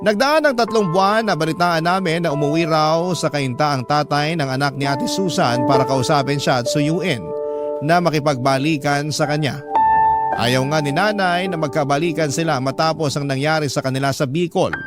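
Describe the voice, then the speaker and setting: Narrator brief: Filipino man, slightly high pitch, emotive scripted love-story delivery over strong music. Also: moderate pace. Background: music bed.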